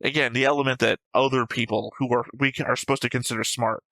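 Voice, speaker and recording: Bright-voiced man talking things through casually. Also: mid-range voice, fairly even intonation, quick pace, dry recording, low-quality recording. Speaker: host of an anime reaction show. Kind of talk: casual discussion and analysis of an anime episode.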